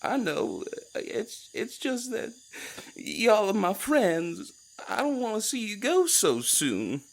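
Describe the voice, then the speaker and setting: Little frog druid, super swoopy pitch, folksy and touchy, audio drama. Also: male voice, slow, creaky, full character voice. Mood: sad, pleading. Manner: folksy, colloquial, animated.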